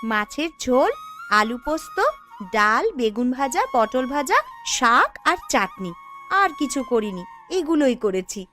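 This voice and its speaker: A woman acts out a busy young housewife in a cartoon village tale. Her voice is very high and animated, with a brisk, homely, nagging manner. Soft music sits behind it. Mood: homely, attentive. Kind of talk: animated cartoon story dialogue.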